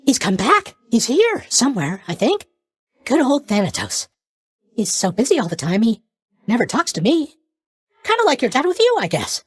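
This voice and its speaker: A man's voice: extremely high pitch, wildly swooping pitch, normal speed, slightly rough, dry recording, exaggerated voice. A man voicing a sleepy, scatterbrained young god of sleep in a video game, airy, hesitant and guilelessly blunt. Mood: chatty, naive. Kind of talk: animated video game character voice lines.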